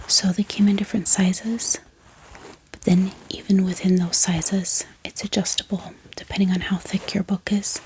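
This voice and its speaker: Whispering woman, soft ASMR delivery. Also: medium pitch, rough recording. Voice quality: breathy, unvoiced whisper. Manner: unhurried, gentle, repeats words softly. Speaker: book haul video host. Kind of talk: whispered ASMR product showcase.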